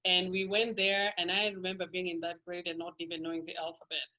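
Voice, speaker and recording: Kenyan woman, middle-aged, lowish voice, rambling interview guest, dull lo-fi recording. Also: completely flat delivery, fast talker. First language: Kikuyu. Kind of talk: casual life-story interview.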